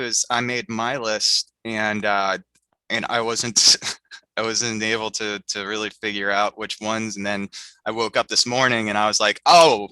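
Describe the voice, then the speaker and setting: A male podcast host rambles through casual chat, packing his talk with fillers. His voice is low and strongly bright, and the recording is very dry. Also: clean tone, no echo, low-quality recording.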